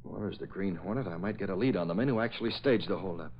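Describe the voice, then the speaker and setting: An actor playing a thoughtful, suspicious publisher and secret crimefighter in a 1940s radio drama, reasoning in a measured way. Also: male voice, sits low. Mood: thoughtful, resolved. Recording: muffled old radio broadcast.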